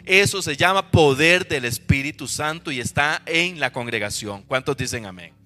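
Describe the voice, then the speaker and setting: Bright-voiced Costa Rican pastor preaching. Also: male voice, fairly high pitch, moderate pace. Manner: emphatic preaching with repeated rhetorical questions to the congregation. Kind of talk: sermon.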